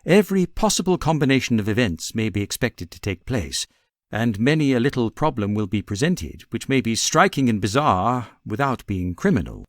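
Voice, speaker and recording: A self-assured Victorian gentleman detective, played by a male audiobook reader, with a British accent. He is precise, amused and theatrical, with pitch that rises and dips freely. The sound is dry and close. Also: big pitch swings, moderate pace, no echo, lightly acted. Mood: amused, musing. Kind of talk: audiobook character reading.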